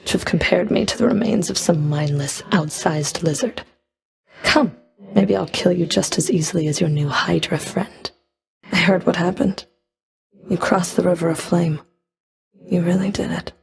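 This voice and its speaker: A woman's voice: fairly low pitch, normal speed, creaky. A woman playing a stern, scornful Fury of the underworld in a video game, speaking in a cool, clipped, disdainful manner. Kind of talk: video game combat and dialogue voice lines.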